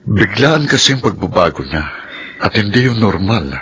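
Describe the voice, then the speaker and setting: A man's voice: lowish voice, very animated, moderate pace, a bit bright, husky, exaggerated voice. An actor playing a cautious, troubled older stepfather in a Filipino radio drama, with a Filipino accent. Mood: troubled, wary.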